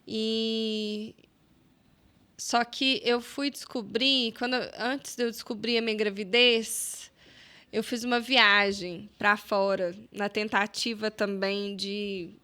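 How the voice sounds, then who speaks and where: Bright-voiced Brazilian woman telling stories. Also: mid-range voice, slow. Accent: Brazilian Portuguese. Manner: animated, many fillers and quoted self-talk. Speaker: guest. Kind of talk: casual storytelling interview.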